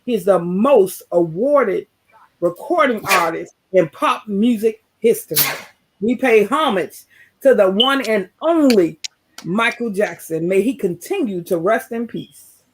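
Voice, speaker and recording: A woman co-host reading a scripted tribute in a formal, measured way with small stumbles. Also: medium pitch, animated, background noise. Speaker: show co-host.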